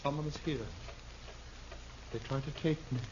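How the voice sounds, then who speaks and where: An actor playing a desperate, deluded killer in a radio western, speaking in a florid, theatrical, menacing way. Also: male voice, fairly high pitch, fairly even intonation, moderate pace, lightly acted. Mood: suspicious, menacing. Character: desperate, deluded killer, grandly theatrical Shakespeare-quoting fugitive. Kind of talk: 1950s radio western acting. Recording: muffled, noisy 1950s radio recording.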